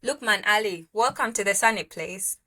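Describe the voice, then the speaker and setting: A woman's voice: medium pitch, normal speed, sharp tone. A young woman hosting an interview, reading a polished guest introduction and asking questions in an upbeat way. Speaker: host. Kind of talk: interview host, scripted intro then chat. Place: room with bookshelves.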